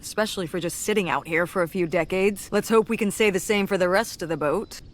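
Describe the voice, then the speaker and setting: A woman's voice: lowish voice, quick pace, lightly acted. An actress voicing a cautious, dry-humored middle-aged mother who scolds and worries over her teenage daughter in a video game. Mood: wry.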